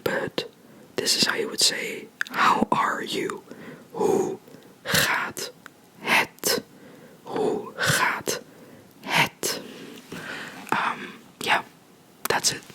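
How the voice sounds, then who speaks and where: A person giving a whispered ASMR language lesson, explaining in a soft, rambling, chatty way close to the microphone. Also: drawn-out words, pauses often. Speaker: language teacher.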